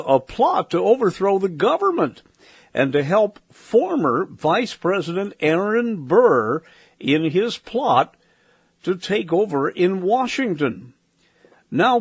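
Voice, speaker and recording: Husky high-pitched male host. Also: dry recording. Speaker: host introducing radio drama. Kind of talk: scripted introduction.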